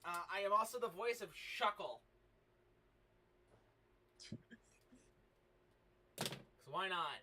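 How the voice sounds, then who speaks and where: A sweary, excitable man hosting a casual livestream and chatting with his guests. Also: high-pitched, slow, long pauses. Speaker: livestream host and amateur voice actor. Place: online group voice call. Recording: noisy, distant mic.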